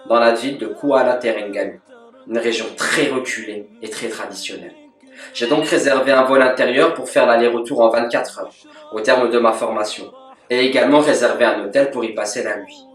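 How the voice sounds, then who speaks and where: Calm, measured man with roomy sound. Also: mid-range voice. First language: French. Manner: calm, measured, devotional delivery with Arabic formulas. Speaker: religious teacher. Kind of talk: scripted voice-over lesson. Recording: echoey, from a distance.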